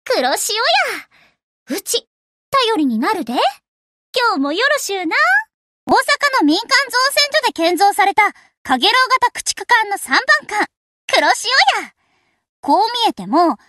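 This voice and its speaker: A woman's voice: extremely high pitch, sharp tone, dry recording, clean studio sound, heavily acted. A woman voicing a cheerful, easygoing young warship girl in an anime game, with a casual, folksy Kansai accent. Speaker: voice actor of a game character. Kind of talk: anime game character voice lines.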